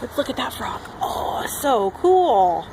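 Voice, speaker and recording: A woman's voice: high-pitched, sing-song, slow pace, noisy background. A woman reading a picture book aloud to young children as a teacher, expressively, with simple asides and acted-out sound words.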